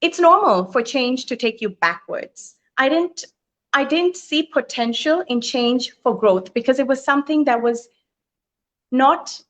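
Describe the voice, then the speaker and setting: A middle-aged woman from Thailand telling her life story as a guest, earnest and thoughtful, with frequent pauses. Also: high-pitched, lively intonation, a bit bright. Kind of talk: reflective personal storytelling. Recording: lo-fi online live stream.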